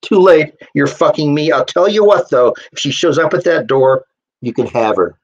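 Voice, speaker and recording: A man's voice: on the high side, normal speed, dull audio. A playful young woman read by a man in a short story. She teases her lover with blunt, casual slang. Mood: playful, teasing.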